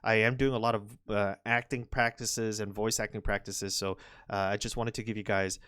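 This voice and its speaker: A young man commenting on a Go match, chatty and rambling, his voice a little hoarse from overuse. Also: lowish voice, level tone, moderate pace, fairly bright tone, dry recording, clean studio sound. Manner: chatty, rambling, frequent restarts and fillers. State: slightly hoarse, recovering voice. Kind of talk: casual game commentary.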